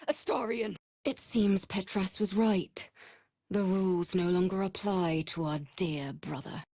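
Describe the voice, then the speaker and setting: A woman's voice: fairly high pitch, vocal fry, dry recording, studio quality, heavily acted. A woman playing a grave, weary vampire servant in a fantasy game, solemn and dramatic in delivery. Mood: grave, resolute. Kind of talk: scripted fantasy game acting.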